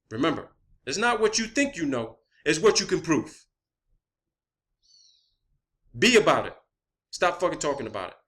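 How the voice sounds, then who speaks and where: A man's voice: a little high, pushed and bright. A man speaking as an online video creator in an impassioned, profane rant, combative and emphatic, repeating phrases to drive points home.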